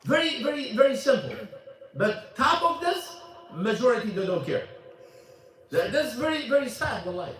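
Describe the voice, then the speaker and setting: A man's voice: very high-pitched, very animated, normal speed, fairly bright tone. A man teaching a religious class at a mosque. He is emphatic, repeats his points and quizzes the audience. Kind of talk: religious lesson, switching between Arabic and English. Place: mosque hall. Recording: echoey hall, mic at a distance.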